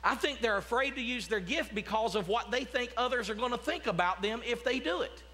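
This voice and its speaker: A man's voice: very high voice, lively intonation, a little fast, bright, forceful tone. A man, a pastor preaching a sermon in a church sanctuary, talking conversationally with many restarts and asides. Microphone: podium mic, walking about the platform.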